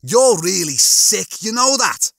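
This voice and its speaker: A man acts out an aggrieved working-class bloke from a storybook. He sounds blunt and sulky, complaining in a raised, forceful voice that jumps with indignation. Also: high voice, big pitch swings, slow, vocal fry, no room sound, studio quality, voice pushed up, heavily acted. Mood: accusing.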